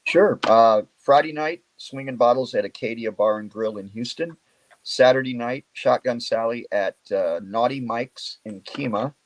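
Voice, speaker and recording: A man's voice: medium pitch, a little slow, slight background noise, poor audio. A man from Memphis, Tennessee, a guest musician chatting and plugging his shows in a friendly way. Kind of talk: casual interview chat.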